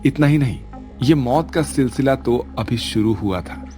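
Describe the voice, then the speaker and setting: A man's voice: mid-range voice, lively intonation, a little slow, mellow, soft background music. A man narrating a scripted spooky village story as its storyteller.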